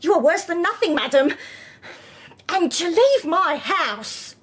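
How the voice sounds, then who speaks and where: A woman's voice: extremely high pitch, very animated, takes it slowly, a bit bright, heavily acted. An actress playing a prim, self-pitying British landlady in a period radio drama, fussy and theatrically indignant. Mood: scandalised, indignant. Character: prim, sanctimonious landlady, self-pitying genteel single lady.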